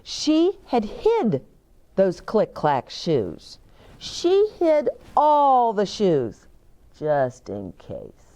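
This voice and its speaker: An older woman reading a picture book aloud and speaking deliberately and simply, as if to small children. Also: medium pitch, wildly swooping pitch, mellow. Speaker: children's storytime reader and host. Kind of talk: expressive picture-book read-aloud with asides to young children. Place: indoor bookshop-like set with shelves, seated in armchair.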